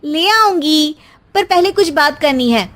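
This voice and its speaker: A woman voicing a tired young housewife in a cartoon who answers her husband with sharp complaints. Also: high-pitched, animated, measured pace, pitched above the performer's own voice. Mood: complaining.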